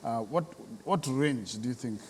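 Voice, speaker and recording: A man's voice: medium pitch, animated, unhurried, in a large hall, a little hiss or hum. A man with a Kenyan accent interviewing conversationally as host, restating and confirming what he is told.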